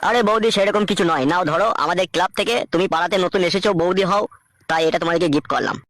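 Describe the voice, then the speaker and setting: A man's voice: high voice, fairly even intonation, rapid-fire, a bit bright, dry recording. A man voicing a crude, swaggering neighbourhood thug in a comic cartoon dub, speaking. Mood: smooth swagger. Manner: bullying, crude slangy street talk.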